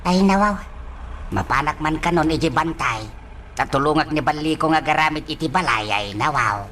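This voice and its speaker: A man's voice: a little high, normal speed, bright, forceful tone, slight background noise. A man playing a weary, complaining elderly father in an Ilocano radio drama, grumbling with plaintive exclamations. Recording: music behind the voice.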